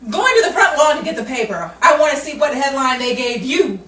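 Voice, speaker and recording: A woman's voice: high voice, very animated, a little fast, pushed and bright, exaggerated voice. A woman playing a brash, sarcastic, exasperated Hollywood woman in theatrical 1940s radio comedy style. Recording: roomy, distant, noisy amateur radio-play recording.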